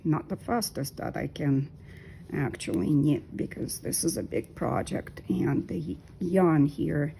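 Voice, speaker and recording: Low, gravelly-voiced woman in her fifties. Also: mellow, slight background noise, rough recording. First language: Russian. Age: 50s. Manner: unhurried, hesitant with many ums. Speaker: knitting vlogger. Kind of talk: casual talk while knitting.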